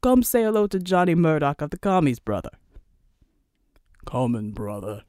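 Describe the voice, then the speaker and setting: A woman's voice: sits low, very animated, normal speed, dark tone, no echo, studio quality, pitched below the performer's own voice. A woman voicing a huge, genial man from Samoa, easygoing and jovial, like a big brother.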